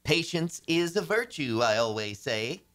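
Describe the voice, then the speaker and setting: A man's voice: on the high side, sing-song, a little slow, fairly bright tone. A man playing a stuffy, pompous boss who recites proverbs, in old-time radio comedy style.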